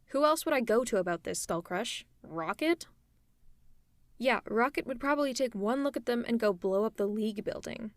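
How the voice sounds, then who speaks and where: A woman playing a tough but caring female supervillain in an ASMR roleplay, speaking in a conversational, reassuring and gently teasing way. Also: fairly high pitch, no room sound, close to an everyday voice. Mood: exasperated. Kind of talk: ASMR roleplay audio drama.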